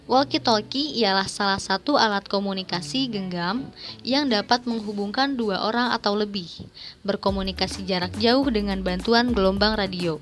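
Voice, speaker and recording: A woman's voice: medium pitch, moderate pace. Young woman reading a presentation over music. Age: young adult. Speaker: student presenter. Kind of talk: scripted presentation narration, reading a paper aloud. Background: background music.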